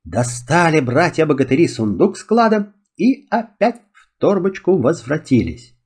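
A man telling a children's fairy tale in an expressive, theatrical and deliberate way. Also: a little high, breathy edge. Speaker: storyteller.

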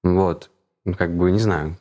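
A man's voice: sits low, normal speed, a little mellow. A man chatting casually as a conversation partner who puts questions to the other speaker. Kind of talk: casual chat.

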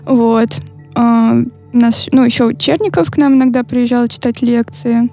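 A woman co-hosting a casual podcast chat as a family therapist, with brief, agreeable replies and measured explanations. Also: on the high side, fairly even intonation, dark tone, faint music behind.

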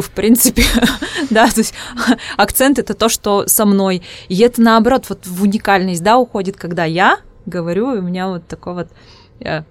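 A woman with an Udmurt accent, whose first language is Udmurt, hosting a casual podcast interview and often pausing to search for her words. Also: lowish voice. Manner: hesitant, searching for words with many restarts. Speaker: podcast host.

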